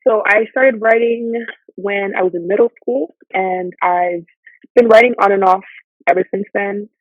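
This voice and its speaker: A woman in her thirties, a guest poet answering interview questions in a relaxed, rambling way. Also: mid-range voice, a little slow, no echo. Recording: dry, tinny phone line.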